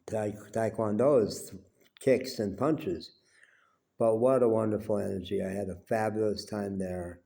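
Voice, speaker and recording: A man in his seventies with a cold and a stuffy nose, talking slowly and reflectively at home in a rambling personal monologue. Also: fairly low pitch, steady tone, creaky, warm tone. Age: 70s. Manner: unhurried, rambling, with frequent pauses and fillers. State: congested with a cold. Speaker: vlogger. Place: small home indoors, quiet.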